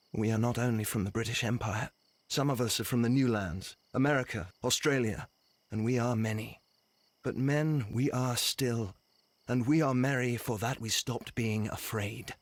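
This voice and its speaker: An actor as a defiant rebel leader in a video game, a man with a medium-pitched voice. He sounds embittered and speechifying, recorded dry and close. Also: dry recording, natural voice. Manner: measured, oratorical, defiant.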